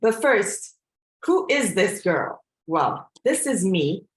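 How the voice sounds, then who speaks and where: A woman in her forties from Belgium, a coach giving a presentation in an earnest, reflective way, often pausing on fillers and restarting her sentences. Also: mid-range voice, big pitch swings, echoey room. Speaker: coach and trainer. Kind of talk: presentation to an audience.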